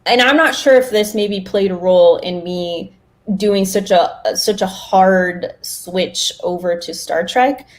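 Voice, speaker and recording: A woman's voice: mid-range voice, slow. Woman chatting casually on a podcast. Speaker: podcast co-host.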